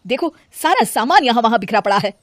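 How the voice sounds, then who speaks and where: A woman voicing an annoyed, fed-up young husband in an animated story, reproachfully scolding. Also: on the high side, quick pace, very noisy, lightly acted. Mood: exasperated.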